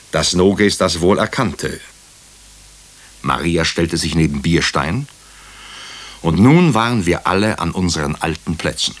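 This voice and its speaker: Deep-voiced sober narrator. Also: male voice, a bit bright, exaggerated voice. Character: sober male patient narrator recounting a murder inquiry. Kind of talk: scripted radio drama narration.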